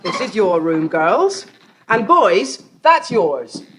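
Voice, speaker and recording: A woman's voice: mid-range voice, big pitch swings, takes it slowly, noisy background. An actress playing a welcoming, motherly farm landlady, hospitable and reassuring, in an audiobook drama.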